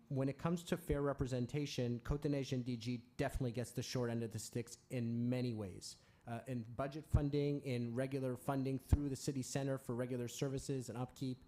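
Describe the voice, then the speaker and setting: Mellow-voiced man councillor. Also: mid-range voice. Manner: measured, fillers between clauses. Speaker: city councillor. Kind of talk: council meeting answer.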